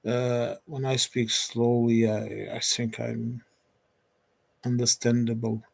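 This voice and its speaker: Portuguese-accented man in his forties; slow, hesitant livestreamer who restarts phrases. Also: medium pitch, little pitch movement, creaky. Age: 40s. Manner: hesitant, pauses often with restarts and fillers. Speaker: streamer. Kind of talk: unscripted solo livestream chat.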